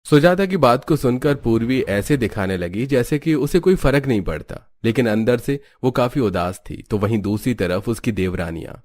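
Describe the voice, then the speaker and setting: A man's voice: medium pitch, lively intonation, normal speed, hardly any pauses, a little mellow, faint music behind. A man reading scripted storybook narration for a cartoon family tale.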